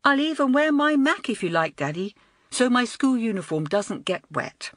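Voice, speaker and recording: A woman reading aloud and voicing an excited, eager schoolgirl in a lively, high girlish voice. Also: normal speed, dry recording, clean studio sound. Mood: pleading. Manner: bright, breathless, high girlish delivery.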